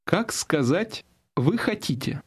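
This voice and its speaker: A man's voice: on the high side, big pitch swings, takes it slowly, breathy edge. A man narrating a scripted language lesson as the instructor, giving prompts in an instructional manner.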